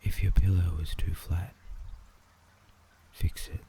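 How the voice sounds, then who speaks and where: Very deep, dark, hushed Australian man. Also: level tone, slow pace, creaky. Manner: soothing, hushed, often dropping into a whisper. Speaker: guided meditation narrator. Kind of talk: ASMR sleep visualization, scripted narration. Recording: faint background noise and ambience.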